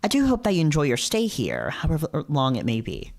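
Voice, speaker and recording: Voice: mid-range voice, wildly swooping pitch, brisk, creaky, darkish tone. A streamer voicing a courteous, guarded prince from a visual novel, speaking formally.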